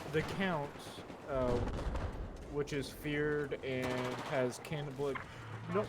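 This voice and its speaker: A man casually retelling a story, halting with lots of fillers, restarts and swearing while he plays a game. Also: fairly high pitch, steady tone, heavy background noise. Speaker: storyteller summarizing a manga. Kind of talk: casual rambling story recap. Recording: loud video game gunfire behind voice. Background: game gunfire and explosions.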